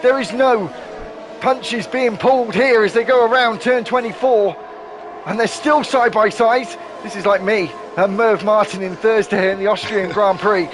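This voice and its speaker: A man giving live play-by-play commentary on an online racing league, excitable and quick to correct himself. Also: high voice, noisy background.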